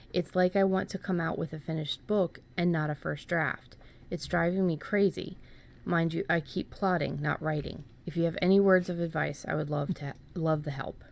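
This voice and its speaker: Lower-pitched woman hosting a casual podcast. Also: vocal fry, a little mellow. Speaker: podcast host. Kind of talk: casual podcast talk, reading listener questions.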